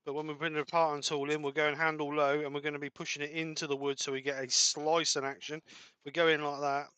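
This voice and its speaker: A man's voice: fairly high pitch, flat delivery, fast, sharp tone, clear, resonant tone, no room sound. A man giving a live woodturning demonstration in his workshop, explaining each step as he works and sometimes trailing off mid-sentence. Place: home workshop.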